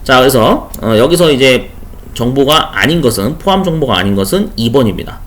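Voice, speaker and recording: A male instructor walks through exam questions in a loose, chatty way, dropping quick "huh?" check-ins as he goes. His pitch moves a lot, rising and falling as he explains. His voice sits in an ordinary middle register. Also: expressive, a bit bright. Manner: chatty, frequent rhetorical "어?" checks and asides. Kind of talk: casual screen-share lecture.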